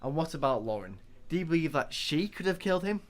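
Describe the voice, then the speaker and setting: Man as blunt, higher-voiced police detective. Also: big pitch swings, fast. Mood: suspicious, pressing. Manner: accusing, terse. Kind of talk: dramatic audio scene acting.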